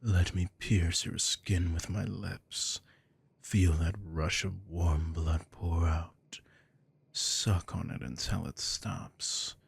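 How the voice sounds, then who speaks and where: A man voicing a predatory, dominant vampire lord in an ASMR audio roleplay, taunting slowly and often whispering close to the microphone. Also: fairly even intonation, exaggerated voice. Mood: hungry. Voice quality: deep, gravelly, breathy.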